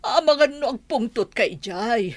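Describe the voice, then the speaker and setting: A worried middle-aged mother in a radio drama. Her Ilocano-accented voice is fairly high, fretful and full of swooping pitch. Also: lively intonation, moderate pace. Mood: anxious warning. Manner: hesitant, fretful. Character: anxious, cautious middle-aged mother and wife.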